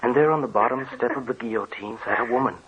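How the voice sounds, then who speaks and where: An actor playing a grave man who recounts his family's legend in measured, solemn 1940s radio drama narration. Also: mid-range voice, crowd noise, natural voice. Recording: dull old radio recording with faint hiss.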